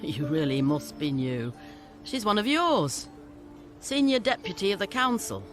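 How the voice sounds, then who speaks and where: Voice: mid-range voice, big pitch swings, measured pace, over music. An actor playing a shrewd, condescending scavenger boss making deals in a video game. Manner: condescending, businesslike. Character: brisk, shrewd scavenger boss, sardonic dealmaker.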